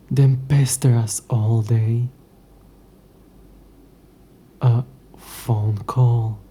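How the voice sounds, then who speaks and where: A man's voice: mid-range voice, warm tone, slightly rough. A man playing an ancient, possessive demon in an intimate audio roleplay, speaking to the listener in a formal, wry, murmuring way. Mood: grudging. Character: ancient possessive male demon, sardonic, curious, teasing.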